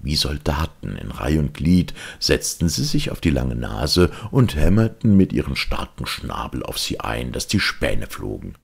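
Guy reading a kids story, low raspy voice, unhurried, really theatrical. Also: big pitch swings. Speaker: audiobook narrator. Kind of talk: expressive children's storytelling.

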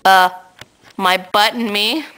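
A teenage girl making a goofy prank phone call, silly and giggly with loud outbursts, recorded close on a webcam at home. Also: mid-range voice, fairly even intonation, takes it slowly, slight background noise. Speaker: prank caller. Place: indoors at home.

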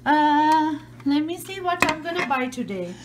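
A woman behind the camera in a home living room, gently and patiently playing pretend shop with a toddler. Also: high voice, lively intonation, a little slow, very noisy. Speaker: parent hosting a kids' channel. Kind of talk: playful pretend-play with a toddler.

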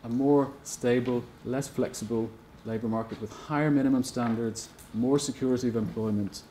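A man in his forties giving a measured academic panel talk in a seminar room, hesitant with frequent restarts. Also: medium pitch, steady tone, warm tone, slight background noise. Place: seminar room at a conference table.

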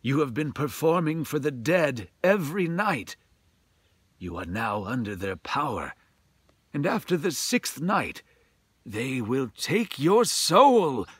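Man voicing a grave, slow-spoken priest. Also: medium pitch, sing-song, fairly bright tone, breathy edge, dry recording, voice pushed up, exaggerated voice. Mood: grave warning. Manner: grave, deliberate, emphatic. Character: solemn male Japanese priest warning gravely. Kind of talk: ghost story reading.

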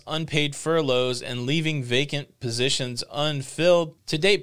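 A man in his forties giving a steady, matter-of-fact news update as a vlog host in a home studio. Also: on the high side, smooth. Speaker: cruise news vlogger. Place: home studio with purple lighting. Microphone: podcast mic in front.

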